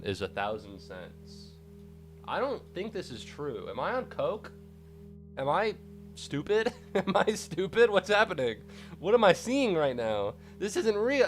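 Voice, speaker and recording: A young man chatting casually on a live stream, congested and rambling with excitable outbursts. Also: a little high, a little slow, soft background music.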